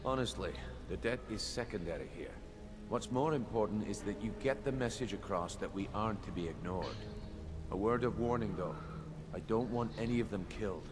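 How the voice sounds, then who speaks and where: An actor playing a brisk, menacing thieves' guild recruiter in a video game, measured, commanding and a little mocking. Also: male voice, on the low side, over music, lightly acted. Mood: businesslike, menacing. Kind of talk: video game character dialogue. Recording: noisy game audio with ambience.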